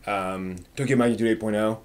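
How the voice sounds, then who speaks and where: A man hosting a casual live stream, replying to viewer comments with thinking pauses and firm, emphatic opinions. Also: on the low side, fairly even intonation.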